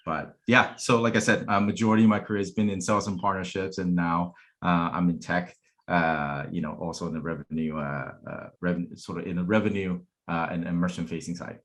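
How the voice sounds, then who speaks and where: Deep-voiced man, halting and thoughtful. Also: steady tone, a bit bright. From Taiwanese family. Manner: halting, many restarts, thoughtful. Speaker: panel guest. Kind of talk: casual panel talk.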